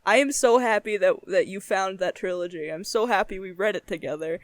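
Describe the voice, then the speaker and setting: A woman's voice: mid-range voice, fairly quick, no echo. A young adult woman chatting casually as a podcast co-host, giggly and emphatic, with plenty of swearing. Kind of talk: casual chat.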